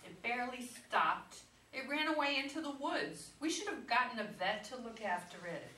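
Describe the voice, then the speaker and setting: In a roomy hall-like recording, a woman plays a contrary wife in her forties in a stage comedy. Her voice is bright and a little high, dry and full of complaint. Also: sing-song, moderate pace. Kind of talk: staged reading of a comedy play. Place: echoey room, mic away from performers. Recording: roomy distant stage recording, faint noise.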